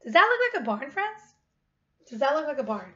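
Female primary-teacher type, high-pitched, reading to kids and repeating questions, muffled home recording with noise. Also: big pitch swings, a little fast, bright, forceful tone. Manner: repeats questions, addresses children as friends. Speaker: teacher reading aloud to young pupils. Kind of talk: children's read-aloud with questions to pupils. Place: living room at home, indoors. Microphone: webcam at about arm's length. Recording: dull webcam sound with clear noise.

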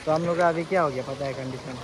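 A young man with a Northeast Indian accent talks chattily to his phone while fishing at a river, his voice pitched a little high. He laughs often and drops English words into his talk. Water and construction noise are clearly heard behind him. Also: steady tone, fast. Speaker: vlogger. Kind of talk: casual vlog narration while fishing. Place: outdoors by a river. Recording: noisy outdoor riverside recording.

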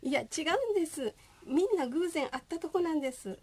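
High, mellow chatty woman, acted. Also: lively intonation, fairly quick. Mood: cheerful. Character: cheerful chatty female company president. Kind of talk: comic radio drama acting.

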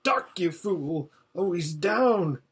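A man's voice: medium pitch, lively intonation, measured pace, fairly bright tone, husky. A man voicing an impatient old hermit seer in a storybook, giving an anxious, exclamatory running report. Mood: dismayed. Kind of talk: storybook character voice.

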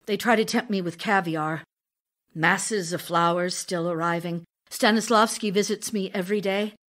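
A woman's voice: lowish voice, moderate pace, fairly bright tone, natural voice. A woman playing an ailing, lonely actress wife in an audio drama of love letters, speaking in a theatrical, confiding way. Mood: weary, longing.

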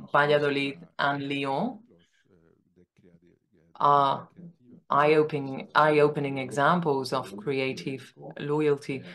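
Slow, deliberate man. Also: fairly high pitch, a bit bright. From Sweden. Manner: deliberate, provocative. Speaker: audience member. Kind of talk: question from the floor. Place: large conference hall.

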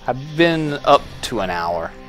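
A man casually talking through a game as he plays, relaxed and musing, thinking aloud with small restarts. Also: mid-range voice, takes it slowly, faint music behind. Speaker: gameplay video host. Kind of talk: casual gameplay commentary.

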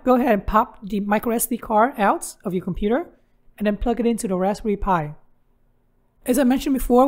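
A woman's voice: medium pitch, a little mellow. A woman hosting a how-to tutorial, narrating instructions step by step. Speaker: tutorial host.

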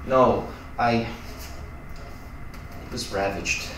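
A man's voice: mid-range voice, fairly even intonation, extremely slow, a bit bright. A man playing a sheepish, guilty roommate in a naturalistic acted scene, with a hesitant, stammering delivery. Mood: defensive. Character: sheepish, guilty male roommate confessing odd habit, desperate. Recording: distant echoey room, clear background noise.

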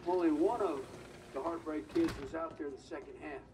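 Man playing measured press conference speaker, high voice, off-mic, faint noise, game cutscene. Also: distant mic, close to an everyday voice. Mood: measured, proud.

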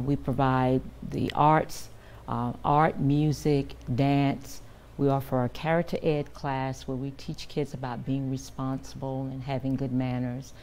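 A woman's voice: rumbling low, dark tone, a little hiss or hum. An older woman guest in a TV studio interview, speaking earnestly and measuredly with frequent fillers, on a lapel mic. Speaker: guest, director of a children's camp. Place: TV news studio.

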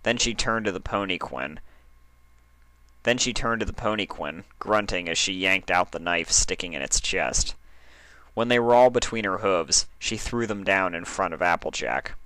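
A man narrates fiction from a script. His voice is low but bright and crisp-edged, and the recording is dry, with very little room sound. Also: no echo. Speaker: fan fiction reader, narrator.

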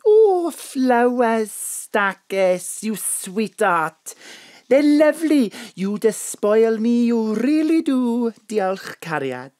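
A woman's voice: a little high, sing-song, takes it slowly, a little mellow, dry recording, studio quality. A woman playing a cheery, muddled, affectionate older Welsh mother with a Welsh accent in an audio drama. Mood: delighted.

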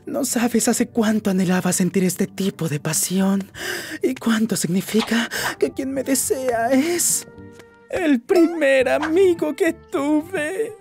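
A performer with a Latin American accent playing a lovesick, emotional demon prince and unhappy husband in an animated fan dub. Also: high-pitched, slow. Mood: tearful, moved. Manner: theatrical, emotional, swinging from purring to outraged. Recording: loud music behind the voice.

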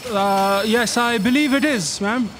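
A man's voice: extremely high pitch, measured pace, background noise. A man playing an eager, hesitant office assistant in a staged audio drama, deferential and inquisitive. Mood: hesitant, curious. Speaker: stage actor. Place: theatre stage, indoors.

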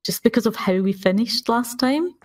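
A woman's voice: on the high side, animated, normal speed. A woman in casual conversation as a player on a podcast. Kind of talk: casual chat. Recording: distant from the mic.

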